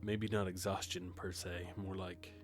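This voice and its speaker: Man acting deep, reserved grim reaper, aloof. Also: flat delivery, a little slow, over music. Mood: weary, brooding. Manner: reserved, formal, terse. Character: grim reaper man, centuries old, aloof, weary, introspective. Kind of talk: audio drama acting and first-person narration.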